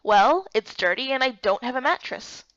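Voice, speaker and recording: A woman's voice: high voice, animated, normal speed, a bit bright, no room sound, dull audio. A woman playing a weary, frustrated young heroine in a fantasy game, plaintive and plain-spoken. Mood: determined.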